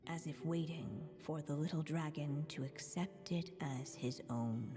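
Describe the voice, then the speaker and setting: An actress playing a solemn storybook narrator in a fantasy game, telling the tale in a measured, dramatic way. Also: female voice, sits low. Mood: solemn, storytelling. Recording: long reverb, music behind. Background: game music.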